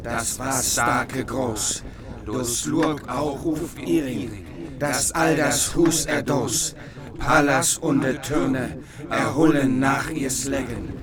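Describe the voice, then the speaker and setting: A man slowly reciting medieval verse in a measured, chanting manner. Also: mid-range voice, over a noisy crowd.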